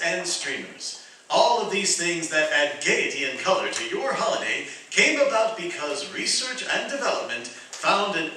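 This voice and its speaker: A man's voice: a little high, bright, forceful tone, slight background noise. A man reading a scripted advertisement in the style of a 1940s radio spokesman. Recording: very echoey hall, distant mic.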